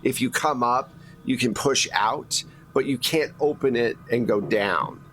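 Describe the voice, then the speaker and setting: A man narrates a tabletop role-playing game as its game master. His voice sits at an average pitch and his delivery is conversational and teasing. A little noise runs behind him. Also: a little slow, a bit bright, poor audio.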